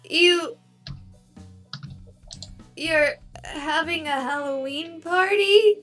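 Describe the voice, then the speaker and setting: A woman's voice: extremely high pitch, very slow, fairly bright tone, faint music behind, full character voice. An actress playing a sassy, easily spooked young woman streaming her ghost hunt in a horror game, with a dramatic, whiny delivery. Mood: puzzled.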